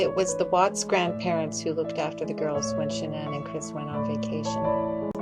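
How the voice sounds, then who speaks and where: A woman reading a scripted critical commentary as a video essay narrator. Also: fairly low pitch. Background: music.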